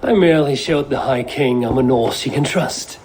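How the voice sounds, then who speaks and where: A man as a terse Norse warrior, mid-pitched. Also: moderate pace, background noise, lightly acted. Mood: calm, confident. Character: stern, confident, dry-witted Norse warrior. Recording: music under dialogue.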